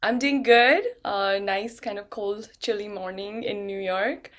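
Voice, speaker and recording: A woman's voice: mid-range voice, fairly even intonation, unhurried. A middle-aged woman from Nepal, a guest on a video call interview, talking in a thoughtful, casual way with frequent ums and restarts. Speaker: guest, neuroscientist and yoga teacher.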